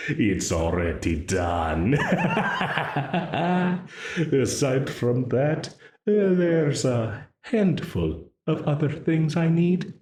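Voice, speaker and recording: Brief: male actor as a smug, menacing demon in an audio roleplay, very slow, swooping pitch, roomy echo. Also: very animated, a little mellow, heavily acted. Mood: menacing, mocking. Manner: slow, taunting, with frequent laughs.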